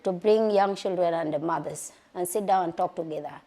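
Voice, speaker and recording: A woman's voice: lowish voice, a little fast, mellow. A middle-aged woman from Sudan, speaking plainly about her children and community. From South Sudan.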